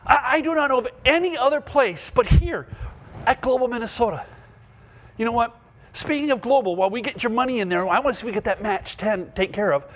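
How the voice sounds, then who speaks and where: A man hosting a live gala fundraiser, speaking with excited, urgent, rallying energy. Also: very high-pitched, a little fast. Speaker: fundraising host.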